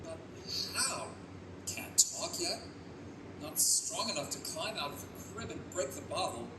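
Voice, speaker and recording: An anguished, theatrical father reborn as a baby played by a man, high-voiced and leaping across his range. It is excitable radio drama, caught in an echoey room from a distant mic. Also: unhurried. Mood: worried. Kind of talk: live old-time radio play acting. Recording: echoey live stage, distant mic, clear noise.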